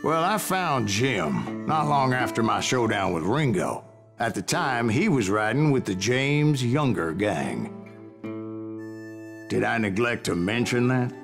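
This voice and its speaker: A grizzled old American gunslinger narrates a Western game with heavy gravel in his voice. He spins boastful tales in a folksy storyteller's manner, with music clearly playing behind him. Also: mid-range voice, very animated, measured pace. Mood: measured storytelling. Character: grizzled old male bounty hunter spinning tall tales.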